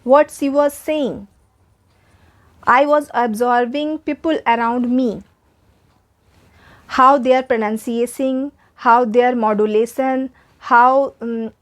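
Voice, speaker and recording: A young woman with an Indian English accent whose first language is Hindi. She gives an earnest, encouraging spoken English lesson and repeats her points for emphasis. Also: on the high side. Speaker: English teacher.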